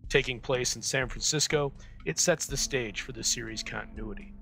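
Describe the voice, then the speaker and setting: A man's voice: medium pitch, normal speed, vocal fry, pushed and bright, faint music behind. A man hosting an old-time radio presentation, reading a scripted introduction. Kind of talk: scripted narration introducing a vintage radio show.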